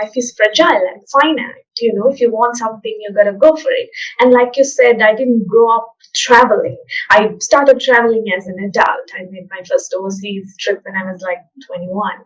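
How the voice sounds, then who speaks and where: A woman from Sri Lanka, a podcast guest telling her story in a thoughtful, soft-spoken way with fillers. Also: fairly high pitch, highs cut off. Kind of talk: casual interview chat.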